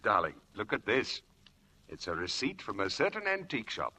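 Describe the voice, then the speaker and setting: Exuberant roguish husband, bright and swooping. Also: male voice, fairly low pitch, moderate pace, breathy edge, heavily acted. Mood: coaxing. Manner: theatrical, excitable, coaxing. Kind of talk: 1940s radio drama acting. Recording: vintage radio drama broadcast.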